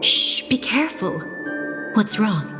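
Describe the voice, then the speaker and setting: A woman playing a calm, knowing, mysterious young woman in a video game, speaking in a measured, cryptic way. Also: medium pitch. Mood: cryptic, cautioning. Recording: long reverb, game music behind.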